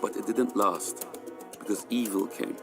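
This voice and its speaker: A man recounting personal memories in a slow, heavy way in an archive clip. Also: fairly low pitch, over music. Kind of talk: archive testimony.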